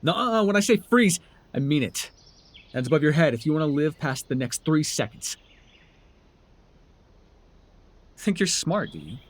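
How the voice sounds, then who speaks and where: A man acting as a sardonic male supervillain with a husky edge to his voice. His delivery swings widely in pitch, theatrical and taunting, in the style of an intimate dramatic audio roleplay. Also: very animated, fairly quick. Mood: threatening, wary. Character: sardonic male telepathic supervillain, menacing yet curious.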